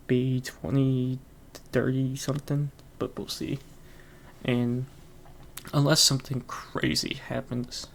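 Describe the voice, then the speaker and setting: A man's voice: medium pitch, creaky, slight background noise. A man hosting his own podcast alone, rambling casually with lots of fillers and frequent chuckles. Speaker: podcast host. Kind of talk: casual solo podcast chat.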